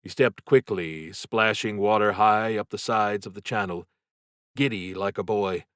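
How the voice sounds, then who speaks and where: Slow, low-voiced male audiobook narrator. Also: no room sound, clean studio sound. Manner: deliberate, suspenseful, expressive. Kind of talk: dramatic scripted novel narration.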